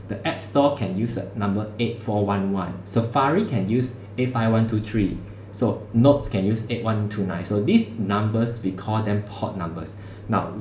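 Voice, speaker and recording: Casual lecturer with a low voice. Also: male voice, darkish tone. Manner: casual, conversational teaching with local particles. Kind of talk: livestreamed university lecture. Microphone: streaming setup mic. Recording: echoey room, faint background hum.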